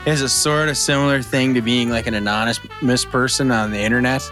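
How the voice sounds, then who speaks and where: A man co-hosting a podcast, talking in a halting way, restarting his sentences and laughing easily. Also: medium pitch, steady tone, over music. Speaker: podcast co-host. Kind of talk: casual chat.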